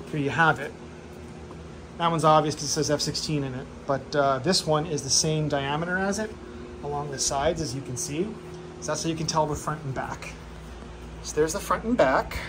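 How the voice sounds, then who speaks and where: A man's voice: on the high side, background noise. A man filming a casual, offhand walkthrough of his home workshop, joking at his own expense. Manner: offhand and self-deprecating, with fillers. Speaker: channel host.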